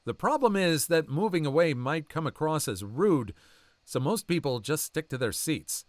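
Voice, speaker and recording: A man reading online stories aloud as a channel narrator, with a lively, swooping delivery. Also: a little high, normal speed, no room sound. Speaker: story narrator.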